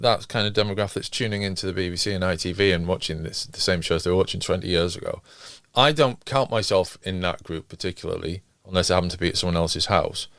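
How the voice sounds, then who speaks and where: A man's voice: sits low, a bit bright, no room sound. A man nearly fifty, co-hosting a casual podcast chat in a hesitant, understated way. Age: late 40s, nearly 50. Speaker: podcast co-host. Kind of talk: casual discussion of television.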